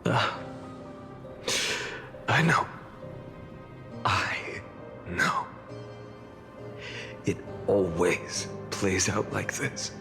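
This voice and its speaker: Brooding game warrior, very low register, gravelly fry, deliberate, restrained, solemn. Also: male voice, very animated, big-room sound, over music, exaggerated voice. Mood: weary. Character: grim, world-weary warrior bearing burning divine flames.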